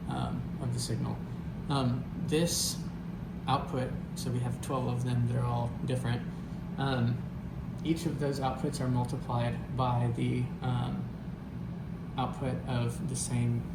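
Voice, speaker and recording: A young man explaining a technical device as a student presenter, in a halting, hesitant way. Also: medium pitch. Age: young adult. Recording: noisy, echoey room recording.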